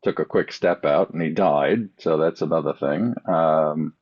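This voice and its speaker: A man in casual chat as a podcast panelist, rambling through halting questions and guesses. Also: very deep voice, a little mellow. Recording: dull lo-fi audio.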